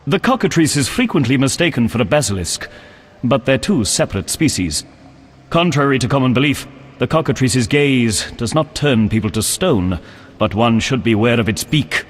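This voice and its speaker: Male actor for an earnest game knight: slightly husky voice, solemn courtly phrasing with expressive swings, heard in long reverb. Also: mid-range voice, normal speed, close to an everyday voice. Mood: instructive, earnest. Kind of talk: video game character acting.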